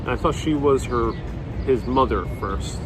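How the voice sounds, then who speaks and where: A man from a Puerto Rican family, whose first language is Spanish, chats casually as a live-stream host, reading viewer comments aloud and answering them in a relaxed way. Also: medium pitch, unhurried. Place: outdoors on a city street. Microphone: wireless clip-on mic. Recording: city street noise behind.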